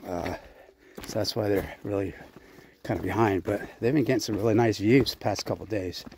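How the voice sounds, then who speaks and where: A man casually narrating his hike to a handheld camera outdoors on a mountain trail, chatty and unhurried, winded at times from the climb. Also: fairly low pitch, background noise. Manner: chatty, unhurried, reads signs aloud. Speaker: hiking vlogger.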